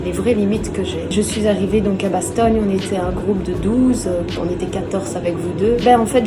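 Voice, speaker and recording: A woman speaking casually as a retreat participant giving her testimonial, chatty, with many hesitations and restarts. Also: mid-range voice.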